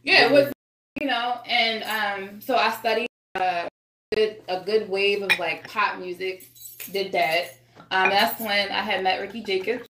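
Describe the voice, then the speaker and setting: A woman's voice: mid-range voice, fairly even intonation, measured pace, fairly bright tone, a little hiss or hum. A woman singer talking casually as a podcast guest, rambling and swearing, with many restarts. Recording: echoey room.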